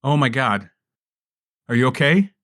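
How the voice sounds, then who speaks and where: A man's voice: medium pitch, animated, a little slow, a bit bright, no echo, studio quality. A man playing a tabletop roleplaying game in a casual, earnest, improvised way with salty language.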